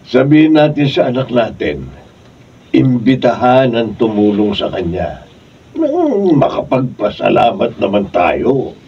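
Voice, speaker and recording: An actor playing a proud, fond middle-aged father with a Filipino accent and a husky voice in a radio drama. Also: medium pitch, big pitch swings, measured pace, slight background noise. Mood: reflective. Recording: distant, dull room recording.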